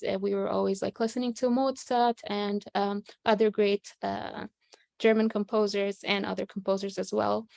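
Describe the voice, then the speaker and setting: Young female guest from Egypt, slightly gravelly, dry close sound, hesitant casual answers. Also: medium pitch, no room sound. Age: young adult. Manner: relaxed, many fillers like uh and um. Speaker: guest, classical singer.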